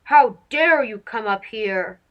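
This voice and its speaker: A woman's voice: a little high, lively intonation, slow, lo-fi sound, voice pushed down. Woman doing a cranky old male monkey. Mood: indignant, scolding. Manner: gruff, scolding, haughty.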